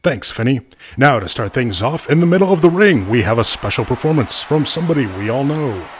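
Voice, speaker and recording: A man's voice: medium pitch, animated, a little fast, slight background noise, lightly acted. A man playing a folksy, opinionated wrestling co-commentator, delivering scripted sports commentary. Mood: conversational.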